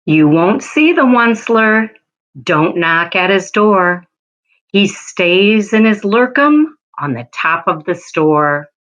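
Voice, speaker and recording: A woman reading a children's storybook in a measured way. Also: medium pitch, expressive, fairly bright tone, low-quality recording. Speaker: school staff member reading aloud.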